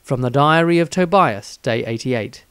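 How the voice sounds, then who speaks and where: A man's voice: mid-range voice, measured pace, a bit bright, clean tone, no room sound. A man narrating scripted story headings as the author reading his own tale.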